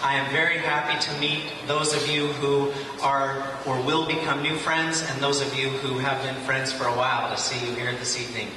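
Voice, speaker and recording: A wealth advisor giving an informal welcome speech at an evening event, pausing on fillers. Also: male voice, medium pitch, level tone. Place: large echoey venue, a car showroom. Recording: echoey hall from far off, noisy.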